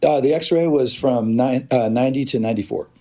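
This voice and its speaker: A man's voice: medium pitch, a little fast. A man in his fifties from Oregon, a podcast guest telling stories in a loose, rambling way with many restarts. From Oregon, USA. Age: 50s. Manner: rambling, animated storytelling with restarts. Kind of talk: casual chat.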